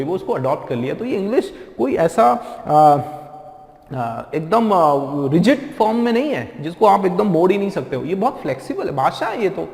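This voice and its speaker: A male teacher with an Indian accent lectures on spoken English, his talk mixing Hindi and English terms. He keeps asking the class rhetorical questions in a fairly high voice. The room is roomy and echoing, with a long reverb tail. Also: a little mellow.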